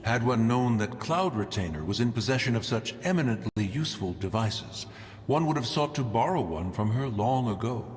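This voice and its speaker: An actor playing a proud immortal sage in a video game, speaking in lofty, archaic phrases. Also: male voice, mid-range voice, animated, moderate pace, natural voice. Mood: haughty, formal. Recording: long hall reverb. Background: music.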